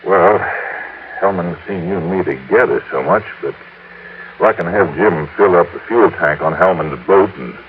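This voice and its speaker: A low, folksy voice from a male actor plays an easygoing helper down at a dock. He talks hesitantly, in 1950s radio drama style, and the sound is narrow and vintage. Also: moderate pace, slight background noise, close to an everyday voice. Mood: casual, conspiring. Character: easygoing, helpful male friend at a boat dock. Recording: band-limited old radio recording.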